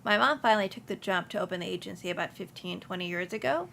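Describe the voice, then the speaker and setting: A woman's voice: lowish voice, quick pace, vocal fry, a bit bright. A young woman from Houston, speaking casually as an interview guest, with many ums and restarts. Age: young adult. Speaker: insurance agency principal, guest.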